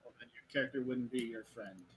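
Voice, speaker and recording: A man's voice: mid-range voice, fast talker, slightly rough, no echo, poor audio. A man playing a tabletop game, throwing in joking asides. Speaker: player. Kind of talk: casual tabletop game chat.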